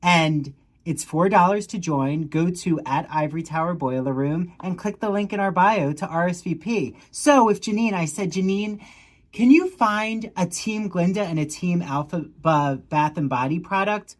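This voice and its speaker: A woman in her thirties co-hosting a podcast, reading sponsor spots in a gushing, animated way. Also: on the low side, normal speed, no room sound. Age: 30s. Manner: gushing, animated, with theatrical gasps. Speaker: podcast co-host. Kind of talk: casual chat with sponsor reads.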